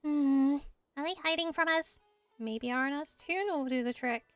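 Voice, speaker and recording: A woman voicing a small, excitable, chatty and cheeky flying fairy companion in a fan-dubbed fantasy game. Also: high voice, normal speed, no room sound, soft background music, voice pushed down. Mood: curious, eager. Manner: refers to self in third person. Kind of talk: game character voice acting, fan dub.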